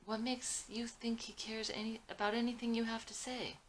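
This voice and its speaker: A woman's voice: fairly high pitch, flat delivery, normal speed, slight background noise, pitched above the performer's own voice, natural voice. A woman voicing a blunt, teasing seventeen-year-old boy in a dramatic script reading. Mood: exasperated, pleading.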